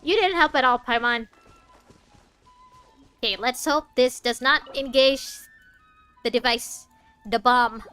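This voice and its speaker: Woman, game streamer, higher voice, casual, wry, playful asides, soft game music behind. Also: slow pace, long pauses, clean tone. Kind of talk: casual playthrough commentary.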